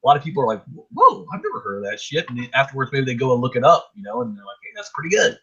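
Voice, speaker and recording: A middle-aged man hosting a casual podcast, hoarse from illness, blunt and quick to swear. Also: medium pitch, huge pitch swings, fairly bright tone, highs cut off. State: hoarse, getting over a chest illness. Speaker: podcast host. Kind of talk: casual chat.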